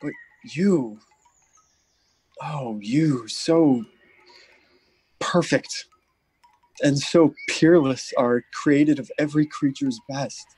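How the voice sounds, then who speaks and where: Shakespeare radio play: male actor as gallant young lover, courtly, earnest, declamatory, medium pitch. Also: drawn-out words, long pauses, a little mellow, slightly rough, no room sound, low-quality recording. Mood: adoring wonder. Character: ardent, gallant young nobleman in love.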